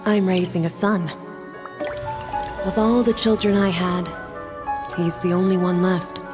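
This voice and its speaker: A woman's voice: lowish voice, fairly even intonation, unhurried, lightly acted. A woman voicing a weary, bittersweet mother witch in a video game. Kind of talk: video game character acting. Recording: clear music playing behind.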